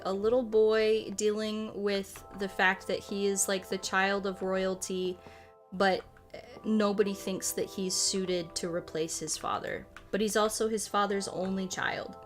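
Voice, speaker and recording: A woman streaming a game, chatting casually in a rambling, halting way with self-corrections and playful, cutesy phrasing. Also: medium pitch, steady tone. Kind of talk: casual chat while playing a game. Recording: music behind the voice. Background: music.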